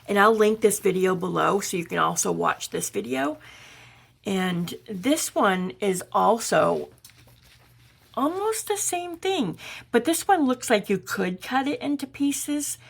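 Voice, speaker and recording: A woman from Georgia, hoarse and raspy from pollen, chatting her way through a crafting tutorial as a channel host. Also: medium pitch, expressive, a little slow. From Georgia, USA. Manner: chatty, meandering, explaining while crafting.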